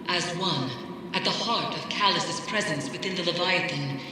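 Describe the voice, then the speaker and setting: A woman's voice: on the low side, natural voice. A woman playing a grave mystic who warns of doom in a solemn, portentous way in a video game. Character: grave female mystic warning of looming doom. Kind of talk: dramatic scripted game narration. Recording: very echoey hall, noisy ambience.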